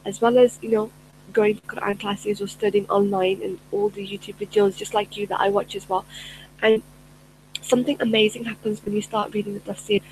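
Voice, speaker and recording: A woman's voice: medium pitch, little pitch movement, a little fast, darkish tone, slight background noise, low-quality recording. A middle-aged woman, a personal development coach, talking earnestly to camera in a chatty way with many fillers and restarts. Her first language is Urdu. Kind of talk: casual spoken talk to camera.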